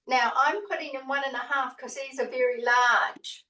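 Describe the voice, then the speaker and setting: A woman's voice: high voice, steady tone, normal speed, pushed and bright, distant mic. A woman giving a casual cooking demonstration over a video call, chatty and rambling, correcting herself as she goes. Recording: roomy, from away from the mic.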